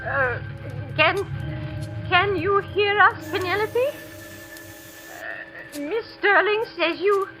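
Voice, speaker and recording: An actress playing a frail, grieving old headmistress in an old radio drama, hesitant, stammering and easily led. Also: female voice, extremely high pitch, animated, very slow, pauses often, exaggerated voice. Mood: faltering, bewildered. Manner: hesitant, stammering, flustered, submissive. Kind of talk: 1940s-50s radio suspense acting. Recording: vintage radio recording with music.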